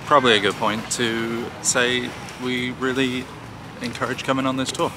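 A man's voice: mid-range voice, little pitch movement, normal speed. A man in his thirties, adding brief supportive remarks in a casual chat while outdoors on a city street. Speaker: travel vlogger. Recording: outdoor city noise behind.

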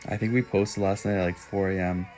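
A young man talks easily and playfully to viewers of his phone livestream, answering comments one by one. His voice sits a little low for a man. It is close to the phone's mic in a small room, with music playing faintly in the background. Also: flat delivery, fairly quick, darkish tone. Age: young adult. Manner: playful, bursts into high owl hoots. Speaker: livestream host. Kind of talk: casual livestream chat reading comments. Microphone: phone held close.

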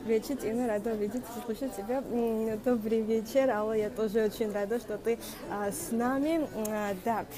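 A young woman coach hosting a live stream, speaking in a halting way with many fillers and a noticeable non-native accent, greeting viewers by name. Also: a little high, fairly even intonation, rough recording. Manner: speaks Russian as a second language with a noticeable accent. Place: small room indoors. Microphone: wired earphone mic. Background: music playing.

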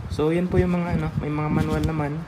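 A Filipino man with a fairly high voice narrates a casual tutorial for his vlog. He speaks informally and haltingly, often searching for his words. Clear background noise runs behind him. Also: flat delivery. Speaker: motovlogger and host giving a product installation guide.

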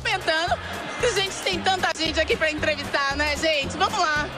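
A woman interviewer speaking loudly and energetically, cajoling a player. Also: sits high, moderate pace, over music.

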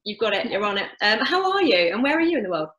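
Woman host, upbeat, mid-pitched, roomy echoey call audio. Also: very animated, fast talker, a bit bright. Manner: upbeat, enthusiastic. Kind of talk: hosting a panel. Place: home room on video call.

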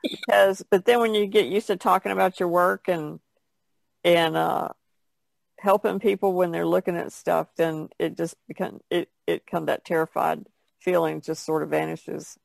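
A woman's voice: lowish voice, fairly even intonation, moderate pace, gravelly, no room sound. A woman artist talking casually as part of a podcast panel. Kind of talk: casual chat.

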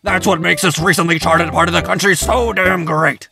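Man playing a creaky, bombastic American soldier. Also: high voice, fairly even intonation, moderate pace. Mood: patriotic pride. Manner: bombastic, declamatory. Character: gruff, bombastic, patriotic male soldier.

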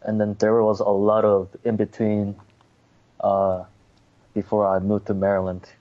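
A man's voice: fairly low pitch, monotone, measured pace. A Korean-born man, a native Korean speaker, speaking English as a guest, hesitant and polite. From South Korea. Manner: hesitant, polite, lots of fillers. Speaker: baseball writer, guest. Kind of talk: casual interview chat. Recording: dull lo-fi remote line.

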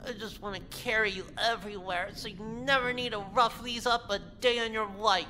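A woman's voice: on the high side, fairly even intonation, a little fast, sharp tone. Woman playing an awkward creepy nerdy guy, echoing. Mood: blissful, creepy. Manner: awkward, overeager, fawning. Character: creepy, eager, awkward nerdy young man. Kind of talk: voiced visual novel dialogue. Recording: long hall reverb, clear background noise.

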